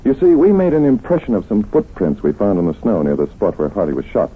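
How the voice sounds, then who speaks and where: An actor playing a shrewd, courteous investigator in an old radio mystery, probing with measured confidence. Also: male voice, fairly low pitch, huge pitch swings, heavily acted. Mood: probing, confident. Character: shrewd, polite, self-assured male investigator doctor. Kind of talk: 1940s radio drama acting. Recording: tinny, husky-sounding old radio recording.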